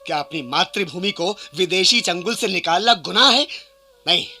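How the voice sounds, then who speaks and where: An actor playing an indignant, patriotic Indian sepoy in a radio play, declaiming with passion. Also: male voice, high-pitched, animated, moderate pace, pushed and bright, a little hiss or hum, heavily acted. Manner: impassioned, declamatory.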